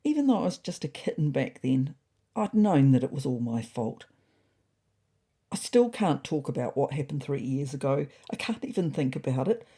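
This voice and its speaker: Woman as an anxious cat, mellow and expressive. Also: very deep voice, normal speed, husky, heavily acted. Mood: sad, troubled. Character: anxious, earnest pet cat confiding in a diary. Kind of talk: first-person diary narration.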